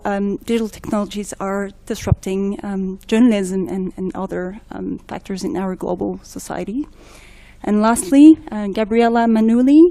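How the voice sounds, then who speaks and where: A woman moderating a panel discussion, introducing speakers with frequent ums and pauses. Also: medium pitch, warm tone. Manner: hesitant, many ums and restarts.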